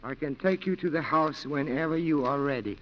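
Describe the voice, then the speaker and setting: An actor in a 1940s radio drama playing a polite, calculating clerk and go-between who speaks formally and precisely. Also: male voice, fairly high pitch, brisk, lightly acted. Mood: deferential, sly. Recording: muffled 1940s radio broadcast recording.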